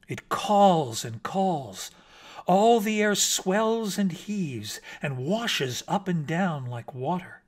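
Guy doing a shy little storybook bat, earnest poet, takes his time, kinda high voice. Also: heavily acted. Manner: hesitant, naive, earnest. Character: small male bat poet, earnest, timid, easily wounded.

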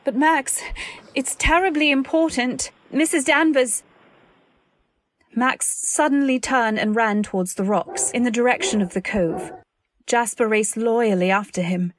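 A woman playing an anxious, earnest wife, narrating a radio drama in a literary, deliberate way. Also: on the high side, slight background noise, natural voice. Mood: pleading. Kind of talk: dramatic scripted narration, old-time radio.

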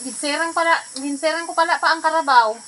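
A woman's voice: sits high, fast talker, sharp tone. A woman vlogging casually while picking fruit under trees on a farm, exclaiming at ant bites and the fruit. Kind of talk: casual chat while harvesting. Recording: outdoor phone audio with insect noise.

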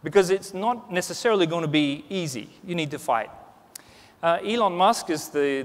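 A man in his forties giving a conversational conference keynote on a large stage, with lots of restarts and "you know.". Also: on the high side. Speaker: keynote speaker. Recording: reverberant conference hall.

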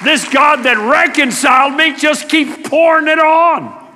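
A middle-aged man preaching a sermon to a church congregation, talking emphatically and swinging between loud declarations and hushed asides. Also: extremely high pitch, unhurried, in a large hall, a faint crowd, a little hiss or hum. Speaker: pastor. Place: church sanctuary.

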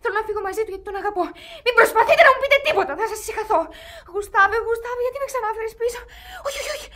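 A woman's voice: very high-pitched, pushed and bright. An actress playing a naive, headstrong young girl in love in a radio play, impassioned and girlish. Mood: tearful pleading. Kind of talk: Greek radio theatre acting.

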